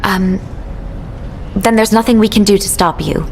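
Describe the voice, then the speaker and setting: A mission operator, voiced by a woman who briefs in a measured, businesslike way. Her pitch sits mid-range. Clear background noise runs under the game audio. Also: close to an everyday voice. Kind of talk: video game cutscene dialogue.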